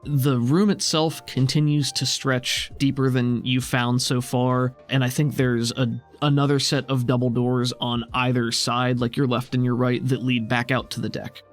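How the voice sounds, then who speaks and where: A man's voice: mid-range voice, level tone, normal speed, hardly any pauses, soft background music. A man acting as game master, improvising descriptive narration for a tabletop game and explaining scenes as he goes.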